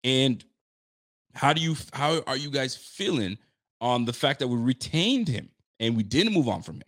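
Man, late thirties, sports show host, animated and chatty, lively pitch, dry recording. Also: normal speed, no room sound. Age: 30s. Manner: animated, chatty, repeats himself. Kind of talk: casual sports talk.

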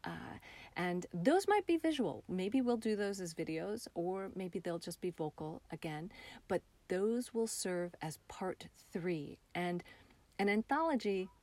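A woman's voice: lowish voice, lively intonation, a little slow, a little mellow, no room sound. A woman speaking as an interview guest, explaining an arts project in a conversational way. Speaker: interview guest, theatre artist.

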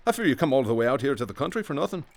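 A man's voice: mid-range voice, sing-song, very fast. An actor in a radio drama playing a troubled former policeman, hesitant, sardonic and evasive as a patient. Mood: defensive, wry.

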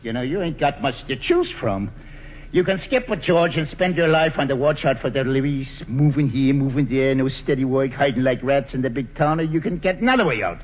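An actor playing a cocky, slangy American gangster boss who talks breezily and pushily, in 1940s radio drama style. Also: male voice, mid-range voice, a little fast, a little hiss or hum, close to an everyday voice. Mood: pressing. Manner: breezy, pushy, wheedling talk in gangster slang. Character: slangy, cocky male bootlegger gang boss. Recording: dull vintage radio broadcast, reverberant, faint music.

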